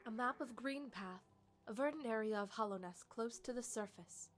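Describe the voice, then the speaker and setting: A female map seller from a fantasy game, acted by a woman. Her voice is fairly high, and she delivers scripted descriptive lines. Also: moderate pace, a little hiss or hum, close to an everyday voice. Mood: wistful.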